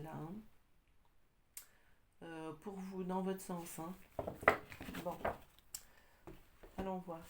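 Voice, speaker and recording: Woman with a cold doing a slow tarot reading, lowish voice, lots of um and pauses. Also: a little mellow, a little hiss or hum. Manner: hesitant, with many pauses and fillers. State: has a cold, slightly congested. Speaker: tarot card reader. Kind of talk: casual tarot reading for viewers.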